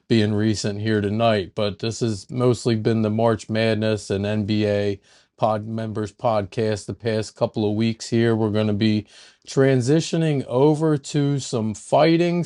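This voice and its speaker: A man's voice: medium pitch, darkish tone, smooth. Chatty male sports podcast host. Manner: chatty, rambling with restarts. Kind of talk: casual sports talk.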